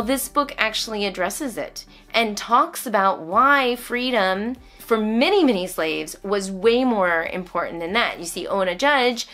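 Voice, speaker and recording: A woman's voice: a little high, fairly bright tone. A middle-aged American woman chatting casually to camera as a homeschooling vlogger, stressing points emphatically and repeating phrases. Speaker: homeschooling vlogger reviewing books. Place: indoors, small room at home.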